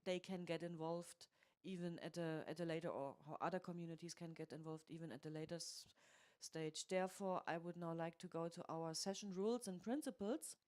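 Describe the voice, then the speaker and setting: A woman at a planning meeting, calmly explaining to newcomers how teams are formed, with frequent fillers. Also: fairly low pitch, fairly quick. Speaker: meeting organizer.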